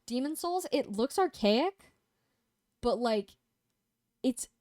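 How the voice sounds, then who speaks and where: A woman's voice: sits high, expressive, slow pace, darkish tone, no echo, clean studio sound. Casual, rambling young woman reviewing games. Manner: rambling and profane, with many fillers. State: sniffly, losing her voice. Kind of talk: casual chat.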